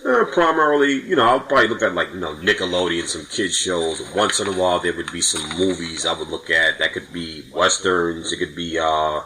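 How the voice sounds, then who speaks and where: A man's voice: low voice, fairly quick, few pauses, noisy background. A middle-aged man from New York chatting casually on a podcast, recalling things in a hedging, rambling way. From New York, United States. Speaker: podcast guest or co-host. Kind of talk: casual chat.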